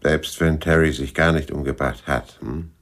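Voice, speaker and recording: An actor playing a dry, unimpressed private detective, laconic and deadpan, with a gravelly voice in a hardboiled radio drama. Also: male voice, extremely low pitch, normal speed, no room sound, heavily acted. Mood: curt, unimpressed. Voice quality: gravelly, slightly husky.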